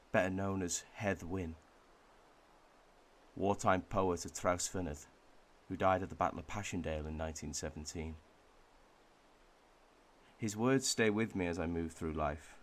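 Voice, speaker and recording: A man playing a wistful Welshman, delivering a pensive, measured monologue in a radio drama. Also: sits low, a little hiss or hum. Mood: informative, proud. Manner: pensive, measured, with thoughtful pauses. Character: wistful Welshman reflecting on his home village and heritage.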